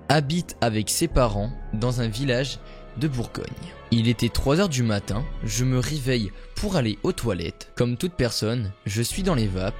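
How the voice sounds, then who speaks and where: Man narrating spooky stories. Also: mid-range voice. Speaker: YouTuber. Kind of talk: storytelling narration of paranormal stories.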